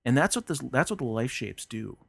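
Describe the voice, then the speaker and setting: A man's voice: medium pitch, very animated, a little fast, gravelly, slightly rough, no echo. A man hosting a podcast, talking earnestly and reflectively about faith, with frequent restarts and fillers. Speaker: podcast host.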